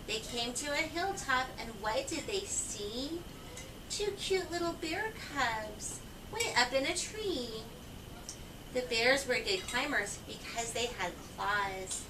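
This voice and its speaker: Woman, slow-paced read-aloud storyteller for kids, asks the listeners questions; recorded far off in an echoey room. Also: fairly high pitch, expressive, background noise. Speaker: reader of a children's picture book.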